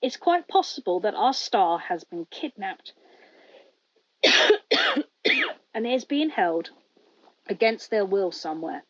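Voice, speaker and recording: A woman voicing a hesitant, urgent stranger pleading over studio loudspeakers in an audio story. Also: medium pitch, wildly swooping pitch, highs cut off, full character voice. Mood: worried, pleading. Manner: hesitant, halting.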